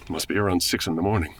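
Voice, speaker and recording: A man's voice: on the low side, very noisy, full character voice. Fretful 1940s man, wildly swooping voice. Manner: fretful, earnest. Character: anxious, bewildered man from the 1940s on the run. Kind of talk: comedy audio drama acting, old-time radio flavour.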